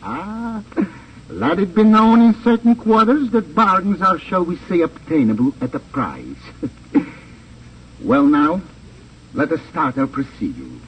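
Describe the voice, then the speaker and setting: An actor playing a smug, suave crime boss in a 1950 radio drama, speaking in formal, condescending, sly phrasing. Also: male voice, fairly high pitch, sing-song, unhurried. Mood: oily, courteous. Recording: muffled, hissy old radio transcription, distant.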